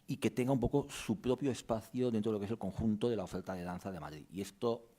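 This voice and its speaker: A man's voice: lowish voice, quick pace, warm tone. A man presenting a theatre season at a press conference, explaining at length with frequent restarts.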